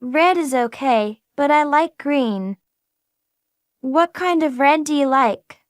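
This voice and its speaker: A woman reading a friendly everyday speaker in a slow, carefully spaced practice dialogue for English learners. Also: high-pitched, animated, no room sound, clean studio sound. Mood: plain.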